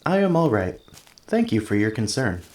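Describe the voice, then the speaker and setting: Guy doing a gentle curious android helper for asmr roleplay, robotic fx, super lilting formal speech, echoey. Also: fairly low pitch, sing-song, moderate pace. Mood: warm, earnest. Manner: formal, precise, literal-minded phrasing. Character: polite, earnest male android tenant assistant, gentle and curious. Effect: robotic voice effect. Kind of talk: ASMR roleplay, scripted one-sided conversation.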